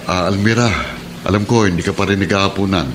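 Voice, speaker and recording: An actor playing a kind, patient police sergeant and husband in a Filipino radio drama, earnest and pleading in manner. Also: male voice, deep voice, steady tone, fast talker, noisy background, lightly acted. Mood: warm, welcoming.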